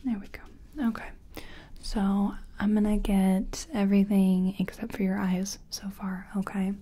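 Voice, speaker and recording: Woman doing asmr makeup artist roleplay, super breathy whisper, soft and mellow, kinda hesitant. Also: mid-range voice, flat delivery, measured pace. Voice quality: breathy, mostly unvoiced whisper. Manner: gentle, hesitant, murmuring personal attention.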